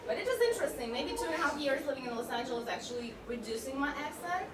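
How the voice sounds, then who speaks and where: Young woman, Russian accent, fairly high voice, chatty and earnest, crowd noise behind. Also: animated, racing. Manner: chatty, earnest, slightly non-native phrasing. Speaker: interviewee. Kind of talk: casual interview. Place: busy indoor party venue.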